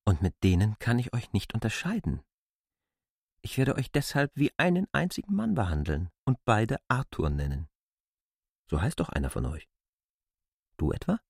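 A bossy stern stranger in a radio drama, brusque orders, really lively pitch swings. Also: male voice, normal speed, creaky, pauses often, slightly rough, dry recording, clean studio sound, exaggerated voice. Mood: commanding. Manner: brusque, commanding, exacting. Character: K., stern imperious male stranger commanding his helpers. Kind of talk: literary radio drama acting.

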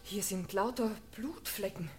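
A woman's voice: medium pitch, unhurried, faint music behind, close to an everyday voice. A woman playing an alert, worried daughter in a radio play, speaking urgently and directly. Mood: alarmed.

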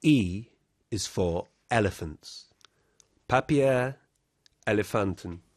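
Extremely slow, low-voiced man announcing titles. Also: animated, no room sound. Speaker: announcer of chapter letters.

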